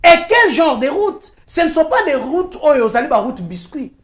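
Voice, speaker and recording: A woman with a Congolese French accent pressing her argument on a talk show. Her voice sits high and swings widely in pitch as she insists point by point, slipping into Lingala phrases. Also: sing-song, moderate pace. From Kinshasa, DR Congo. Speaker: journalist guest. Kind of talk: heated talk-show debate.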